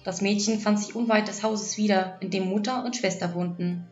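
A woman's voice: mid-range voice, steady tone, normal speed. A woman narrating a scripted fairy tale for children as an audiobook storyteller. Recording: echoey, dull lo-fi, music behind. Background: music.

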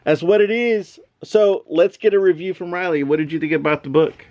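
Casual dad with a high-sitting voice. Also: male voice, fairly quick, a little mellow, lo-fi sound. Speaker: father and family vlogger. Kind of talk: casual chat to camera. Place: small bedroom, lying on a bed. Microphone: handheld camera at arm's length.

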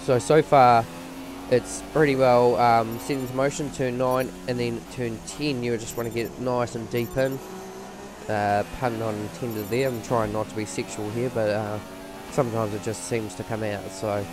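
A man's voice: medium pitch, fairly even intonation, moderate pace, over music. A man with a voice strained from a cold, giving rambling, casual commentary over a racing game with many fillers.

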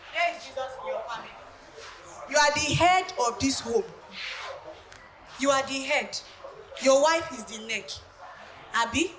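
A woman with a Nigerian Yoruba accent playing a bossy mother-in-law who lectures the couple in a live stage play. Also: sits high. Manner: scolding, lecturing, mixing Yoruba. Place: church hall stage. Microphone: handheld mic. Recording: echoey hall, noisy.

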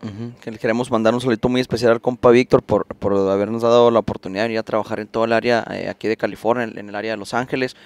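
Quick talking band guy, dry close sound, hyped shout-outs. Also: on the low side, fairly even intonation, smooth, dry recording. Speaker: band member. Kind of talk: casual group interview. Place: outdoor garden patio.

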